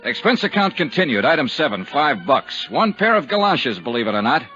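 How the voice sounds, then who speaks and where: An actor playing a hard-boiled insurance investigator in a 1950s radio drama, clipped, dry and matter-of-fact. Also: male voice, mid-range voice, sing-song, fairly quick, bright, forceful tone. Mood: dry narration. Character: brisk, hard-boiled insurance investigator narrating his case. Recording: dull 1950s radio recording, music behind.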